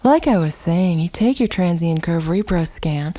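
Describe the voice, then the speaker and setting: A woman playing a deadpan, matter-of-fact office worker in a comic radio theater sketch. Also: lowish voice, moderate pace, no echo. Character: deadpan female office worker reciting excuses and jargon.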